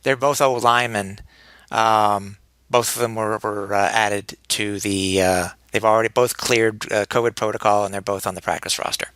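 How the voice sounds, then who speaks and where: Male sports podcast co-host, bright mid-range voice, halting casual chat, dry sound. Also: lowish voice, fairly even intonation, smooth, dry recording. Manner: halting, often repeats words.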